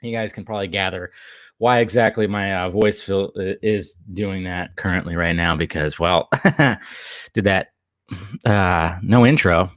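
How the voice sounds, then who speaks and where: A young man with a hoarse voice, chatting casually and rambling to his live stream viewers from home. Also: sits low, unhurried, no room sound, clean studio sound. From Southern California. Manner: rambling, chatty, reads chat aloud, laughs often.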